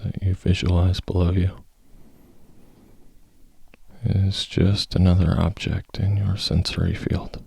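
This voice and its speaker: A man leading a guided meditation, speaking in a calm, measured, gently instructive manner with long pauses. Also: deep voice, vocal fry, slightly rough.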